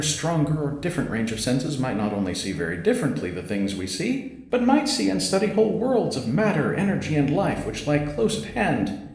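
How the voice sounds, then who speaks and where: A man voicing a fanatical, pedantic mad scientist lecturing with grandiose excitement in a gothic horror story. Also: fairly high pitch, voice pushed up. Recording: echoing reverberant voice.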